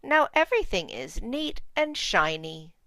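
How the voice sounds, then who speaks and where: A woman voicing a brisk, house-proud farmer's wife in a storybook. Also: medium pitch, big pitch swings, bright, forceful tone, no echo, heavily acted. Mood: satisfied.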